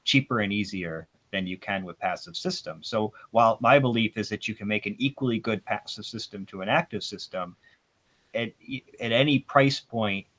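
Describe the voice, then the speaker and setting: A man's voice: fairly low pitch, fairly bright tone. A man explaining technical matters informally as an audio expert on a panel, giving his opinions in a discussion. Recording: dull, band-limited sound.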